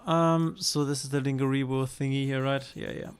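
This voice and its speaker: A man's voice: medium pitch, little pitch movement, normal speed, smooth, soft background music. A man from Germany with a German accent, casually commenting on his card game while streaming and thinking aloud with hesitations.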